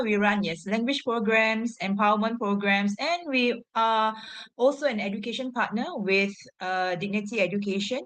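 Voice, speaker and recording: Slow, halting woman with a smooth voice. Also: medium pitch. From Singapore. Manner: halting, frequent fillers and pauses. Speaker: guest, education social entrepreneur. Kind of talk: interview answers.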